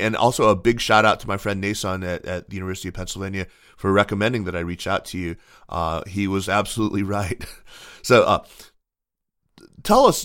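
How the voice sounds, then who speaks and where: A man hosting a podcast interview, introducing his guest in a measured, articulate way with the odd filler and restart. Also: sits low, a bit bright, no room sound, clean studio sound. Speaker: podcast host. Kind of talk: scripted intro then interview.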